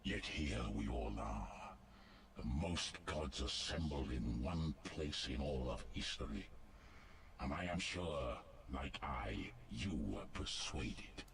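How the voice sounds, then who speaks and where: A man's voice: rumbling low, expressive, takes it slowly, gravelly, noisy background, full character voice. A man voicing a grave, commanding god in a fantasy video game, speaking in a solemn, formal way. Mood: grave, reasoning.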